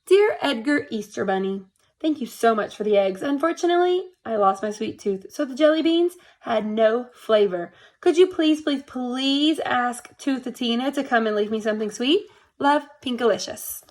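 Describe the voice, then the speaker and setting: A woman's voice: a little high, expressive, normal speed, darkish tone, natural voice. A woman voicing an eager, dramatic little storybook girl with an exclaiming, emphatic delivery. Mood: pleading.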